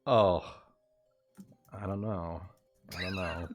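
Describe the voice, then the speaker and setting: A man's voice: low voice, fairly even intonation, takes it slowly, a crowd in the background. A man in casual gamer chat on a livestream, jokey and prone to dramatic quoting. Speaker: host of a tabletop game livestream. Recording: music behind the voice. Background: background music.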